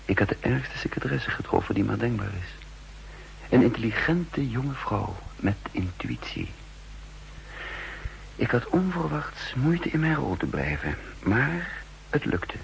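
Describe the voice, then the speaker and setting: An actor playing a self-assured spy who recounts his undercover life in measured, formal 1960s radio drama narration. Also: medium pitch, big pitch swings, creaky, heavily acted, rough recording. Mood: dry, observant. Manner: measured, formal, literary storytelling. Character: self-assured male spy posing as a counterintelligence chief, recounting his story. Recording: 1960s radio recording with hum and noise.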